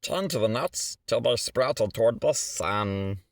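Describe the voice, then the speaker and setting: Animated man reading a rhyming children's story. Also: medium pitch, a little slow, no echo, clean studio sound. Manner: theatrical, rhythmic delivery with stretched words and asides. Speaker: storybook reader. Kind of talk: expressive read-aloud of a rhyming children's picture book.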